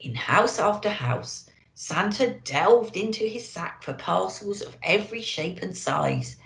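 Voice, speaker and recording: A woman reads a storybook to young children with expressive, lively rises and falls. She takes her time over the phrases, in a slightly low voice. The room adds a noticeable echo. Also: measured pace. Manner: expressive, addressing children directly. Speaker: children's storyteller in character as an elf. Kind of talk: animated picture-book reading for young children. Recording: roomy, echoey indoor sound.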